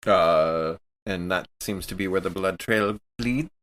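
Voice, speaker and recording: A man's voice: low-pitched, measured pace, fairly bright tone. A man talking casually as a player in a tabletop role-playing game.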